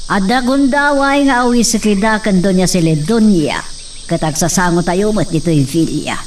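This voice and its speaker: A woman's voice: mid-range voice, expressive, normal speed, distant mic, slight background noise, close to an everyday voice. A woman playing an earnest, grave mother. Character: grave mother of the young master.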